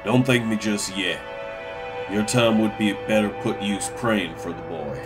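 A man's voice: fairly low pitch, unhurried, over music, natural voice. A man playing a stern, plain-spoken older farm patriarch in a comic dub. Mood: calm, grave.

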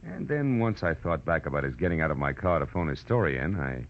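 An actor playing a tough, self-confident American private detective in old-time radio style, clipped and wisecracking. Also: male voice, extremely low pitch, animated, fairly quick. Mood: explaining, relaxed. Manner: hard-boiled, clipped wisecracking. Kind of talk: 1940s-50s American radio detective acting and narration. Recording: muffled, noisy old-time radio recording.

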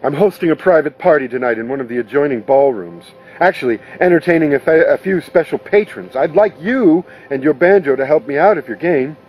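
A man voicing a glad-handing nightclub owner in a storybook, chummy and persuasive, with a husky voice. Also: a little high, lively intonation, fairly quick, few pauses, darkish tone, rough recording. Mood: coaxing.

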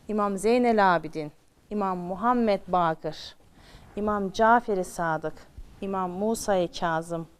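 A woman telling a story to children, expressive and often turning to her listeners with questions. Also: medium pitch, clear, resonant tone.

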